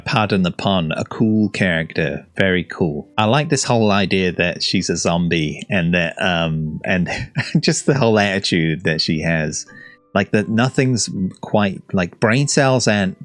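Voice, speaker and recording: A man's voice: deep voice, lively intonation, measured pace, a bit bright, no echo, faint music behind. A man giving casual, chatty, jokey reaction commentary on game trailers. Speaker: gaming reaction host.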